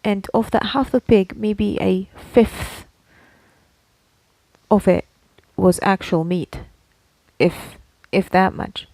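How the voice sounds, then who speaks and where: A woman's voice: mid-range voice, slow, a little mellow, no echo, rough recording. A woman from Slovakia, co-hosting a casual podcast and explaining things in a chatty way, often checking that her listener follows. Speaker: podcast co-host. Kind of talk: casual conversational podcast chat.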